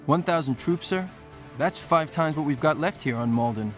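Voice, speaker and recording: An actor playing an earnest, respectful army lieutenant in a game, speaking with deference. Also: male voice, on the high side, moderate pace, faint music behind, close to an everyday voice. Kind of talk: scripted military game dialogue.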